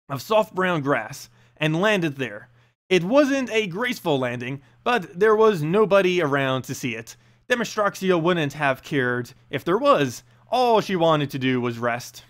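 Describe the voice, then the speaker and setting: A man narrating a fantasy story aloud in an expressive, dramatic storybook style. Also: high voice. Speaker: narrator and channel host.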